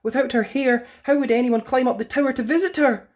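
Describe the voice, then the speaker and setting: A woman narrating a children's fairytale as an expressive storyteller. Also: sits high, a little fast.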